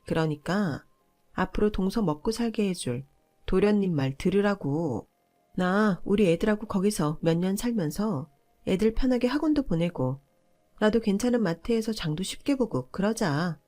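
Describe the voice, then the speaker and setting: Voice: mid-range voice, expressive, normal speed, darkish tone, soft background music, voice pushed up, close to an everyday voice. A narrator voicing a pushy, entitled older sister-in-law in a family story, scolding and condescending. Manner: condescending, nagging, argumentative.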